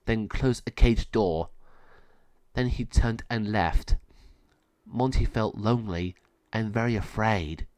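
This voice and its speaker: A man's voice: on the low side, a little mellow, no room sound. A man reading a children's story aloud as narrator, in a simple, scripted storytelling style.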